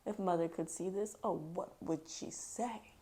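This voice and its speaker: A woman's voice: fairly low pitch, measured pace, dark tone, a little hiss or hum, lightly acted. A woman voicing an earnest, indignant young boy in a storybook, speaking emphatically.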